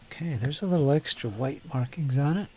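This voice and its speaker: A man's voice: medium pitch, a little fast, a little mellow. A man hosting a casual live stream while painting miniatures, chatting with viewers by name and muttering to himself as he works. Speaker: hobby streamer.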